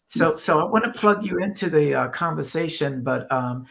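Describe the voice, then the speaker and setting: Man, talk show host, conversational, meandering phrasing, some fry in the voice. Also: fairly high pitch, lively intonation, normal speed, over a noisy crowd. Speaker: host of a ministry live stream.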